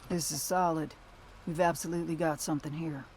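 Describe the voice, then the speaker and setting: A woman's voice: low voice, steady tone, a little fast, a little mellow, slight background noise. An actress playing a tough, resolute bounty hunter who leads her team in terse, plain-spoken game dialogue. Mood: resolute, businesslike. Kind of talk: video game dramatic dialogue.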